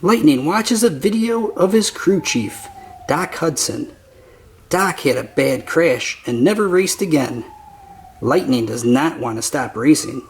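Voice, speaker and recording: A man reading a children's picture book aloud as narrator, with simple, deliberate phrasing for young listeners. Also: a little high, lively intonation, slight background noise. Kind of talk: slow scripted children's story narration.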